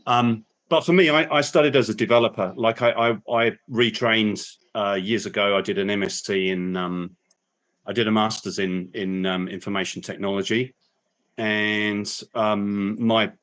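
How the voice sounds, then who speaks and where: A man's voice: on the low side, steady tone, normal speed, a bit bright. A man speaking as a guest at a career meetup, reflective, with many restarts and fillers. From not from New Zealand. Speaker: guest speaker, business analyst. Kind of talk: casual panel talk. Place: New Zealand.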